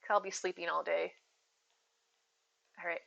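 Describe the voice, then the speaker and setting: A woman's voice: on the low side, little pitch movement, normal speed, creaky, a bit bright, dull audio. A woman streamer chatting casually with her viewers, rambling and giggling as she goes, with a soothing voice. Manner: chatty, rambling, giggly, addresses chat by name. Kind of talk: casual live-stream chat.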